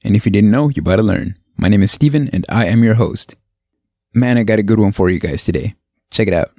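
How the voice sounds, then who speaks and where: A man's voice: fairly low pitch, brisk, mellow, dry recording, clean studio sound. A man hosting a podcast interview in a laid-back, chatty way. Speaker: podcast host.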